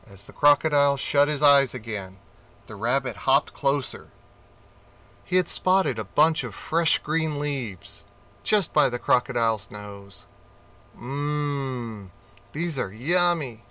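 A man reading a children's picture book aloud, slowly and expressively. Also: medium pitch. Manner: slow, expressive reading with character voices. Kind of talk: storybook read-aloud.

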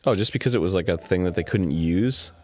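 A man's voice: low-pitched, fast, a little mellow. A man co-hosting a gameplay chat, dropping short wry questions and asides. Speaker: co-host.

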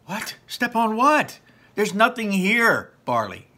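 A man's voice: animated, slow pace, a bit bright, slightly rough, full character voice. A man reading a story aloud, voicing an anxious teenage boy who whines and panics. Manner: whiny, panicky, high-strung.

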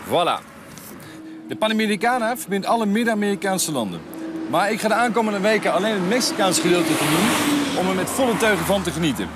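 A man in his thirties hosting a travel show outdoors, talking to camera in a relaxed, enthusiastic and chatty way. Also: sits high, fast, heavy background noise. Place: outdoors on a mountain road and in a tent. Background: background music.

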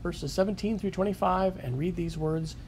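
A man reading a scripture passage and then calmly summarizing a sermon he heard, with frequent fillers. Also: high voice, normal speed, a little hiss or hum. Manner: calm, reflective, with frequent fillers. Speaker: sketchnote narrator.

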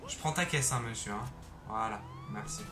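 A young man chatting casually over his gameplay on a headset mic, excitable and throwing in English exclamations. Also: mid-range voice, normal speed. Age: young adult. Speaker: gaming YouTuber. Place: bedroom. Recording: roomy sound with game music behind.

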